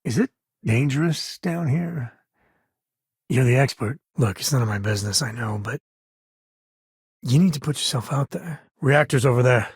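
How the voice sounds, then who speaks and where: An actor playing a wry, laid-back former ranger and space explorer in a video game, a husky man talking in a folksy, conversational way. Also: medium pitch, animated, moderate pace, pauses often, no room sound, studio quality. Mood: alert, steady. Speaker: video game companion character. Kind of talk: video game voice acting, casual dialogue.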